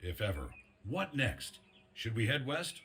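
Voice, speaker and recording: An actor playing a resistance fighter in a video game cutscene, talking casually in short remarks to a comrade. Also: male voice, mid-range voice, huge pitch swings, measured pace, bright, forceful tone, a little hiss or hum, full character voice. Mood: casual, inquisitive.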